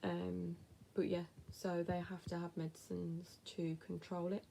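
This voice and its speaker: A woman's voice: lowish voice, flat delivery, unhurried, vocal fry, dark tone, a little hiss or hum. A woman in her thirties with a West Midlands accent, chatting casually to camera as she answers viewer questions, blunt and with whispered asides. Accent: West Midlands English. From England.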